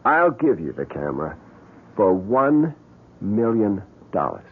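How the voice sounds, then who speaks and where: An agitated businessman with something to hide in a radio drama. His husky voice leaps around in pitch, defensive and stammering under pressure. The sound is narrow and lo-fi. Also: big pitch swings, measured pace, exaggerated voice. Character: agitated, evasive young businessman hiding a secret camera. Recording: muffled lo-fi radio drama recording.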